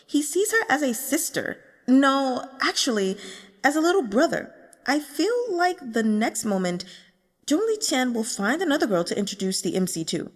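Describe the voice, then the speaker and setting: Woman acting out an excitable, gushing novel fan. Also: high-pitched, moderate pace, big-room sound, pitched above the performer's own voice. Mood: amused, emphatic. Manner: gushing, emphatic. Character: excitable, gossipy online novel fans trading opinions.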